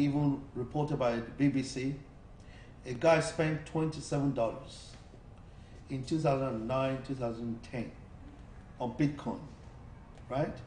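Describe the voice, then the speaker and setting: A man's voice: medium pitch, darkish tone, distant mic. A man, a studio guest, explaining an investment insistently and repeating phrases for emphasis. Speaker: studio guest, cryptocurrency speaker. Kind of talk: persuasive explanation. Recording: echoey studio with faint hiss.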